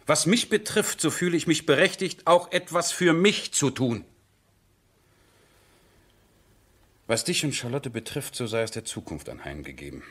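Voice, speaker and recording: An actor playing a passionate, headstrong nobleman in love, husky and theatrical, in a 1970s German radio drama. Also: medium pitch, expressive, brisk. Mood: defiant. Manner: impassioned, theatrical, swinging from hushed to ringing.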